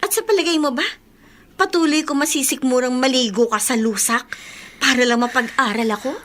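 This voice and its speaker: High-pitched, bitter young woman. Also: a little fast, a bit bright. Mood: cold, resolute. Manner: emotional, accusing, dramatic delivery. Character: bitter, wounded young woman, a student, proud and resentful. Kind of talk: Tagalog radio drama acting.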